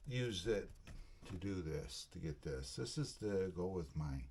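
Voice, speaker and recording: A man's voice: low voice, expressive, unhurried, darkish tone. A man hosting a casual unboxing vlog, talking in a plainspoken, folksy way as he handles things. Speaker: video channel host. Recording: clearly noisy recording with clicks.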